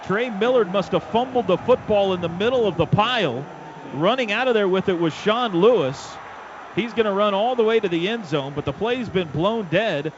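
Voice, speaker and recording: Male football play-by-play announcer, somewhat high-pitched, clipped urgent delivery, over clearly noisy stadium broadcast sound. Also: animated, moderate pace, dull audio. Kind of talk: live radio football play-by-play commentary. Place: stadium radio booth.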